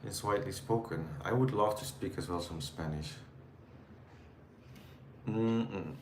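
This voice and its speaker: A man from the Netherlands with a Dutch accent, chatting casually with viewers on a livestream in a relaxed, rambling way while reading their comments. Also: sits low, a little slow, echoey room, a little hiss or hum. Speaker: livestream host. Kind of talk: casual live chat answering viewers.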